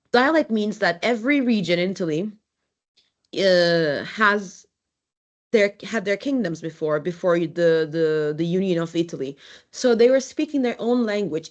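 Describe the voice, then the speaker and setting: Woman, low-pitched lecturer. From Turkey. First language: Turkish. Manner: halting, frequent restarts and fillers, conversational, invites the audience in. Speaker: guest lecturer, architect. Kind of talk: university lecture.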